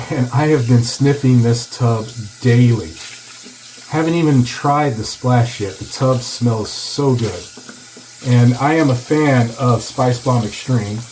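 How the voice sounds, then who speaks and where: A man hosting a casual shaving video, congested and a bit hoarse from a cold, chatting as he lathers. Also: mid-range voice, a little mellow, slight background noise, poor audio. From Texas. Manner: chatty, reads product blurb aloud. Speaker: shaving channel host. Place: home office.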